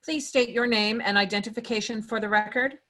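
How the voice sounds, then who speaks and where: A woman's voice: fairly high pitch, steady tone, brisk, a bit bright, natural voice. Woman as formal computer security system. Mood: impersonal, procedural. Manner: formal, procedural. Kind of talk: screenplay table read.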